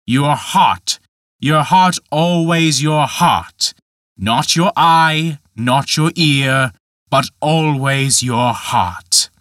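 A man voicing a huge, scornful forest spirit who tempts a young man, speaking slowly and mockingly. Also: mid-range voice, sing-song, a bit bright, dry recording, clean studio sound. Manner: slow, mocking, emphatic.

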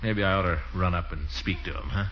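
An actor playing a pompous, soft-hearted middle-aged uncle who blusters and sighs in 1940s radio comedy style. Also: male voice, sits low, a little fast, natural voice. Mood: remorseful. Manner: blustering, sighing, swings from scolding to sheepish. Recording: dull 1940s radio recording.

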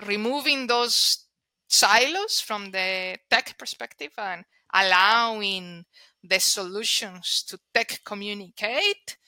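Bright, crisp Colombian-accented woman. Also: mid-range voice, dry recording. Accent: Colombian Spanish. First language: Spanish. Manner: emphatic, repeats words while restarting. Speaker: guest, data and analytics leader. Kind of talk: conversational interview.

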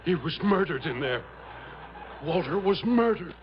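An actor playing a distraught rustic miner in an old radio drama, halting and repeating himself in folksy, uneducated grammar. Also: male voice, on the high side, animated. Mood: shaken, dazed. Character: distraught rustic gold miner who found his partner murdered. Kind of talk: 1950s-60s radio drama acting. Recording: muffled old radio broadcast, faint noise.

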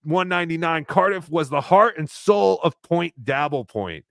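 A man's voice: on the high side, expressive, normal speed, gravelly, fairly bright tone, no echo, clean studio sound. A man co-hosting a livestream, reading donations and chatting casually, swearing and laughing as he talks. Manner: loose, swearing, laughs while talking. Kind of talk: casual profane chat.

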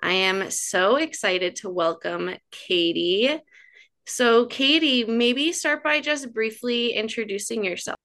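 An upbeat woman hosts a yoga podcast in a bright, clear voice. She reads her intro with energy and later slows into a calm, guiding pace. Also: a little high. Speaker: podcast host. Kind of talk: scripted intro then guided breathing.

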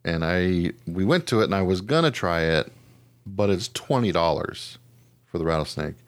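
A man's voice: low voice, animated, normal speed, no room sound. A man hosting a podcast, chatting casually about odd news in a slangy, joking, profane way. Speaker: podcast host. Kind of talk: casual news chat with a co-host.

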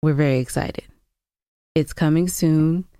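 A woman's voice: sits low, steady tone, measured pace, warm tone, smooth, no room sound. A woman co-hosting a podcast in casual chat, with a teasing, playful manner. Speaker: podcast co-host.

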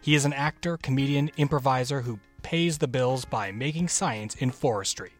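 A man's voice: mid-range voice, moderate pace. A man giving a scripted introduction as the host of a children's story podcast. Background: music.

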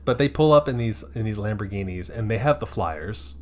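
A man riffs through a casual movie-review podcast in a playful, joking way. His pitch swings widely from line to line, lively and animated throughout. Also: a little fast, slight background noise. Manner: animated, joking, slipping into silly voices. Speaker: podcast co-host.

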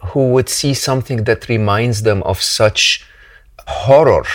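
A man's voice: mid-range voice, normal speed. A man from Egypt, hosting an interview and speaking in an effusive, emphatic, heartfelt way. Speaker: host. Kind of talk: conversational interview.

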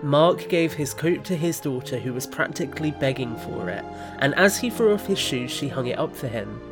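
A man's voice: on the high side, animated, moderate pace, over music. A man reading scripted third-person story narration for an audio drama.

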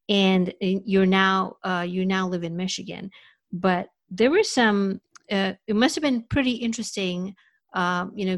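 A woman hosts a podcast interview in a middle-pitched voice. She talks earnestly and often restarts her sentences with fillers. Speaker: podcast host.

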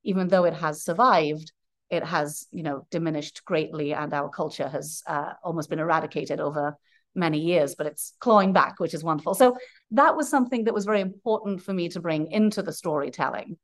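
A woman's voice: sits low, brisk. A woman from Wales, a playwright giving long, earnest, rambling answers in a podcast interview. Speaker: playwright guest.

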